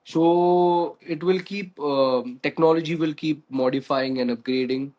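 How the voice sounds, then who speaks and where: Steady man on a panel. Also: fairly high pitch, measured pace, highs cut off. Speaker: guest panelist. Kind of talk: panel discussion.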